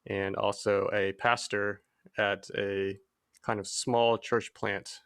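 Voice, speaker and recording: A man's voice: on the low side, takes it slowly, creaky, clear, resonant tone. A man from Texas, a pastor and teacher, chatting casually on a podcast with frequent ums. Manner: relaxed, hesitant with ums.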